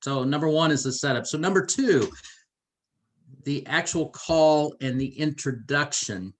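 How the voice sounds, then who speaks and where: A man's voice: on the high side, measured pace. A middle-aged man hosting a conversational business training interview in an enthusiastic, encouraging way. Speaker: host.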